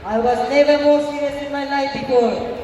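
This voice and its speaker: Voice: sits high, fairly even intonation, normal speed, mellow, dull audio, a faint crowd, natural voice. A performer in an amateur stage play acting a brash, aggrieved, insistent former pupil. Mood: demanding. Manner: insistent, demanding. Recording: echoey hall, far mic, noisy audience.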